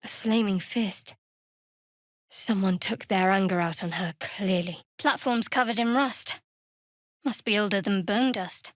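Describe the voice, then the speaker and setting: An actress playing a guarded, sardonic young priestess of a dark goddess in a video game, with a dry, secretive manner. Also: female voice, mid-range voice, unhurried, no room sound, natural voice. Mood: dry, wry.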